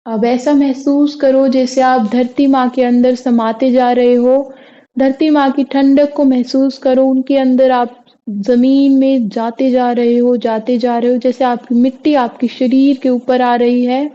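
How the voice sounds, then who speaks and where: A high-pitched woman guides a meditation very slowly. She gives soothing, repetitive instructions as a yoga teacher. The recording sounds a little dull and lo-fi. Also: flat delivery, mellow.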